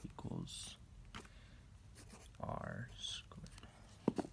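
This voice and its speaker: A teenage boy casually talking a classmate through a math lesson, offhand and joking as he writes on paper. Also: in a whisper. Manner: slow, offhand and jokey, talking while writing and snacking. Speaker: student tutoring a classmate. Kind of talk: casual homemade math walkthrough. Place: indoor room at home.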